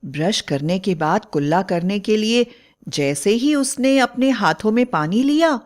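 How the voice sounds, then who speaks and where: A woman's voice: medium pitch, expressive, unhurried, a little mellow. A woman narrating a scripted bedtime story as a storyteller.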